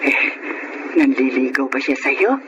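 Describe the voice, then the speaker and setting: A woman's voice: extremely low pitch, animated, a little slow, muffled recording. A woman playing a caring, outspoken middle-aged aunt in a radio drama, with a Filipino accent and an emphatic, motherly manner. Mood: prying, encouraging. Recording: noisy radio drama recording.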